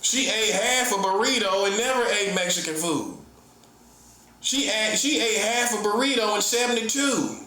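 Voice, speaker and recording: High bright-voiced man teasing with laughs. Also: lively intonation, moderate pace, echoey room, a little hiss or hum, low-quality recording. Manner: teasing, laughs often, acts out mock dialogues. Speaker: self-styled prophet and online preacher. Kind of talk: casual comedic monologue.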